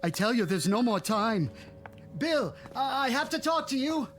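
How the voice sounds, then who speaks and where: A man's voice: very high-pitched, very animated, normal speed, background noise. An actor playing a frantic, panicked suburban father in a radio drama, stammering as he talks.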